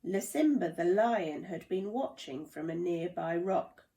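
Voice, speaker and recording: Slow, very expressive woman storyteller. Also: on the low side. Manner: gentle, questioning, addressing young listeners. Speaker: play therapist reading a children's story. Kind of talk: expressive children's storytelling and explanation.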